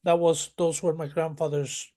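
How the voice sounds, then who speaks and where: A man's voice: a little high, flat delivery, normal speed, mellow. A man from northern Mexico, a guest telling his family history in a rambling, detailed way with many restarts. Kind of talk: conversational interview.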